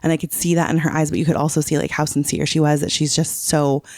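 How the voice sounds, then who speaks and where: A woman's voice: sits low, flat delivery, fast, a little mellow, no room sound. Effusive woman chatting casually, dry close sound. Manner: effusive, informal, peppers talk with "like". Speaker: podcast co-host. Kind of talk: casual podcast chat.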